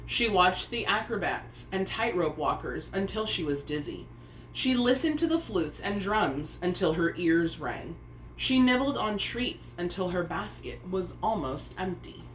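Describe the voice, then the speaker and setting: A woman reading a picture book aloud as a story time host, expressive and speaking to young listeners. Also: lowish voice, measured pace. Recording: echoey room with faint background noise.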